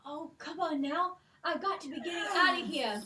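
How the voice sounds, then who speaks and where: A woman's voice: sits high, moderate pace, noisy background, close to an everyday voice. A woman voicing a plucky, clever young heroine with chirpy, lilting speech in a children's puppet show, heard through a distant mic. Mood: sneaky.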